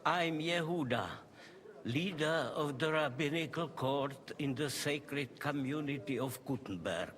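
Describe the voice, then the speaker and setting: An actor playing a dignified medieval rabbi in a video game, speaking in a formal, deliberate and measured way. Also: male voice, on the high side, fairly bright tone. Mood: calm, courteous. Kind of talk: video game dialogue acting.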